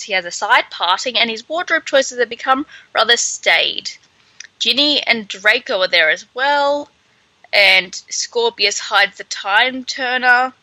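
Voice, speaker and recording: A woman co-hosting a podcast, chatting casually through a book with animated, sardonic asides. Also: mid-range voice, no echo, poor audio. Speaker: podcast co-host. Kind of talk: casual book read-along chat.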